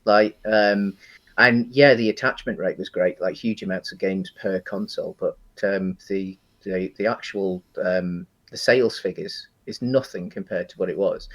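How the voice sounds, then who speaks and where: A man with a British accent and a fairly low voice talks casually as a podcast co-host. His delivery is halting, often pausing partway through a thought before carrying on. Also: fairly bright tone. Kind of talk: casual film and games discussion.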